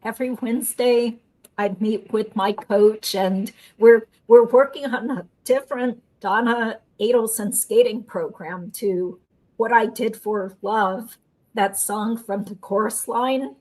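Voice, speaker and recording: A woman's voice: on the high side, slow, darkish tone, low-quality recording. A woman host, a lawyer, chatting casually in an upbeat way and explaining court matters. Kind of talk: casual chat and legal commentary.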